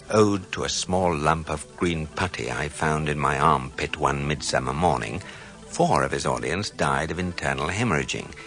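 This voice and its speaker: A man's voice: rumbling low, full character voice. A man playing a dry, erudite guidebook narrator in a radio comedy, with an English accent. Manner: deadpan, erudite.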